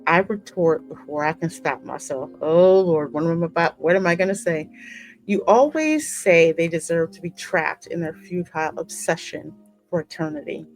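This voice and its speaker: Gamer lady, slightly low voice, acts out the script n reacts, faint music behind. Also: animated, normal speed, rough recording. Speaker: gaming host voicing the player character. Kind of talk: dramatic script reading mixed with casual reactions. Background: game music.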